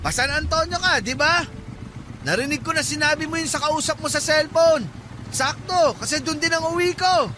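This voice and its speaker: Scheming Filipino tricycle driver, villain, very high forceful voice, radio drama, faint street noise. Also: male voice, moderate pace. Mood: coaxing. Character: menacing male tricycle driver, deceitful knife-wielding abductor.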